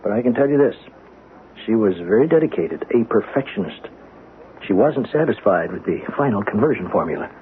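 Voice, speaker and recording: A man's voice: lowish voice, lively intonation, brisk, natural voice. An actor in an old-time radio drama playing a brusque, weary male surgeon who speaks with curt, patronizing authority. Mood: grave explaining. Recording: tinny, noisy old radio broadcast.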